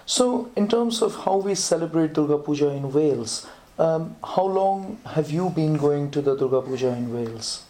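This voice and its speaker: Low, mellow-voiced woman interviewer. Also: animated, normal speed, hardly any pauses. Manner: encouraging, short praising prompts. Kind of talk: casual interview with a child.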